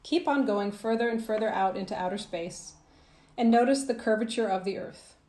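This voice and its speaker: Woman guiding a meditation in a roomy echo. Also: medium pitch. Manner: soothing, gentle, measured with trailing phrase endings. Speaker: coach guiding a visualisation. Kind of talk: guided meditation, scripted narration.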